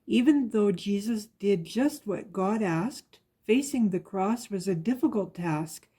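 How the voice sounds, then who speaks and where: Woman, children's storybook reader, dark relaxed tone, a little husky, measured sing-song rhyme. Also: medium pitch. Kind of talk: reading a rhyming children's picture book aloud.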